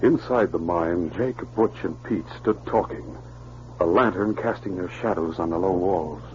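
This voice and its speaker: A man's voice: deep voice, a little slow. A man reading scripted narration for a 1940s radio adventure drama. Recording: muffled, noisy old radio recording.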